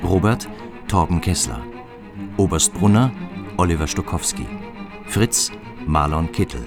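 Deep-voiced male radio announcer over music. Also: animated, a little slow, vocal fry. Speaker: news reader and credits announcer. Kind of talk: formal scripted radio announcing. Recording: music behind the voice.

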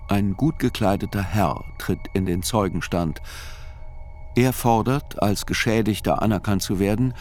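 An actor playing a writer who narrates a trial in a radio play, in a measured, sober, observant way. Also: male voice, on the low side, a little mellow, a little hiss or hum.

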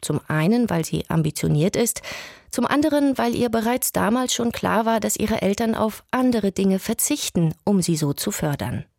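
A woman narrating a scripted radio documentary in German with a calm, measured delivery. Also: mid-range voice, gravelly, no echo, clean studio sound.

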